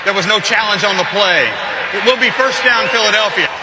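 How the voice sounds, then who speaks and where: A man announcing formal rulings as a football referee in deliberate phrases. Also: high-pitched. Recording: stadium announcement with heavy crowd noise. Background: loud crowd and stadium noise.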